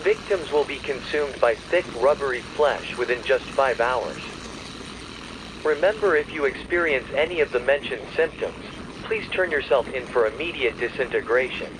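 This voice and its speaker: Loud aircraft-like droning noise surrounds a man's mid-pitched voice. He reads a scripted emergency warning as a formal broadcast announcer. His delivery is measured and official. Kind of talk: scripted emergency alert narration.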